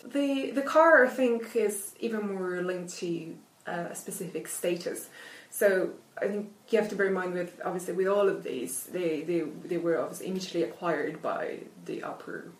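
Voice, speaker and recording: Low-voiced Italian woman, thoughtful and hesitant. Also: normal speed, hardly any pauses, far from the mic. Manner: thoughtful, hesitant with many fillers and restarts. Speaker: literature academic. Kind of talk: conversational interview. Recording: echoey room, off-mic.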